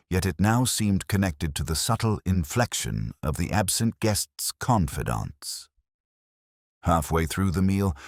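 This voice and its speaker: Very deep, measured male mystery narrator. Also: dry recording, studio quality. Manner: measured, literary, formal. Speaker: first-person detective narrator. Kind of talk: scripted audiobook-style mystery narration.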